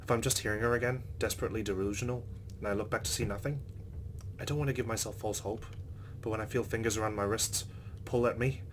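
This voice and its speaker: A man's voice: fairly low pitch, fairly even intonation, quick pace, background noise. A man hosting a let's play, reading visual novel narration aloud with his own reactions, over game ambience.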